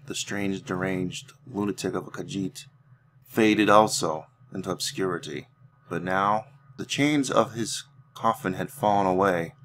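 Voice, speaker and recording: A man narrating fantasy lore as a game roleplay storyteller, solemn and deliberate, with dramatic pauses. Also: sits low, a bit bright, slight background noise. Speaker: host narrating a video game roleplay series.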